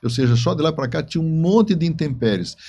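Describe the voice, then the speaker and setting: A man, telling stories in an interview in a halting, emphatic way with repeated words. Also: a little high, lively intonation, warm tone. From southern Brazil. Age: 70s. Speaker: interview guest, writer and engineer. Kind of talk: conversational interview storytelling.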